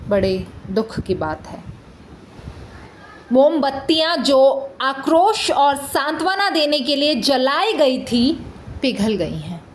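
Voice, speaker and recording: High-pitched, impassioned woman lawyer, acted. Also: big pitch swings, slight background noise, heavily acted, low-quality recording. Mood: grave, sorrowful. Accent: Indian. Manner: rhetorical, deliberate, with dramatic pauses and emphatic questions. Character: impassioned, indignant female prosecuting lawyer addressing a judge. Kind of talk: theatrical courtroom monologue for an audition.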